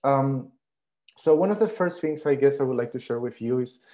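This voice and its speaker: A man's voice: mid-range voice, lively intonation, moderate pace, warm tone. A man from Peru in his thirties giving an online lecture over a headset on a video call, hesitant with many fillers and restarts. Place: living room at home.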